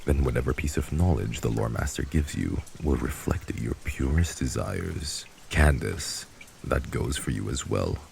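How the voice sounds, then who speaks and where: A man playing a heartless, commanding vampire mafia boss in an ASMR audio drama, gravelly and speaking in a formal, grave, measured manner. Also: a bass voice, animated, darkish tone, slight background noise, heavily acted. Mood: stern, commanding.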